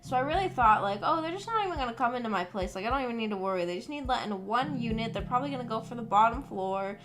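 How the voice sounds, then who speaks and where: A woman's voice: fairly high pitch, expressive, rapid-fire, crowd noise. A woman streamer chatting casually to viewers in a rambling, self-conscious, giggly way. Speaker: livestream host. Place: at home in her room. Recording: music playing behind the voice. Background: background music.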